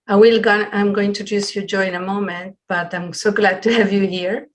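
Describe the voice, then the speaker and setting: A middle-aged woman hosting a webinar on a video call from a small room at home, introducing things in a halting, partly read way. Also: medium pitch, steady tone. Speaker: webinar host. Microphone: computer video call.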